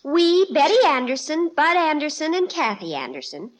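An actress playing a spirited teenage daughter in a 1950s radio sitcom, declaiming in a mock-official, giggly way. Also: female voice, high-pitched, huge pitch swings, normal speed. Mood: mock-solemn. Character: spirited teenage daughter leading a family revolt.

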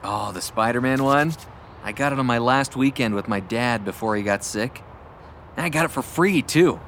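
A man playing an easygoing, affectionate middle-aged dad in an audio drama, casual and gentle in manner. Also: medium pitch, moderate pace, a little hiss or hum, lightly acted. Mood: reminiscing.

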